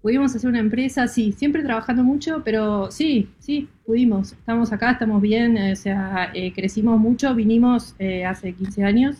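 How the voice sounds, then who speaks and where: A woman's voice: a little high, moderate pace, hardly any pauses, mellow. A middle-aged woman from Rosario with an Argentine accent, chatting casually as a guest over a computer video call. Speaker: guest caller, interior designer. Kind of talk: casual chat. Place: mezzanine workspace indoors.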